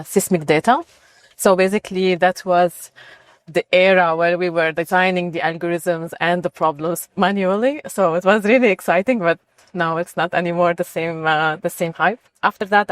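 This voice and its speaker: A woman's voice: on the low side, no echo. A middle-aged woman from Lebanon giving conversational, enthusiastic answers as an interview guest on research work.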